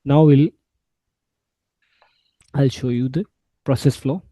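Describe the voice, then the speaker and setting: A man giving an informal software walkthrough, explaining step by step in a halting way. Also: medium pitch, fairly even intonation, no echo. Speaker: SAP instructor.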